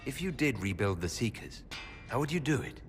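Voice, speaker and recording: An actor playing a caring male leader of a fantasy inquisition in a video game, gentle and questioning. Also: medium pitch, very animated, fairly quick, lightly acted. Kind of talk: dramatic video game cutscene dialogue. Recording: reverberant hall, faint music behind.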